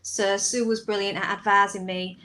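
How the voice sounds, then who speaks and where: A woman speaks as a guest in an interview, explaining her writing in a conversational way. Her voice sits at a middle pitch. There is faint background noise on the recording. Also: flat delivery, moderate pace, pushed and bright.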